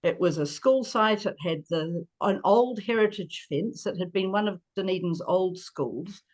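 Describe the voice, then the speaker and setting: An older woman gives an informal talk. Her voice sits at a medium pitch, and she feels her way through sentences, stopping to correct herself. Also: darkish tone. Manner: halting, self-correcting. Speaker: co-housing resident presenting. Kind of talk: informal presentation. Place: video call from Dunedin.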